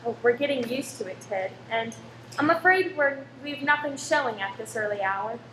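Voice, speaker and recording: Woman acting a loyal feisty wife, high voice, radio drama, roomy echo. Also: lively intonation, moderate pace, natural voice. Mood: defensive. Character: loyal, spirited wife working at a London cinema. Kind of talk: amateur radio play acting. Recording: roomy echo with faint noise.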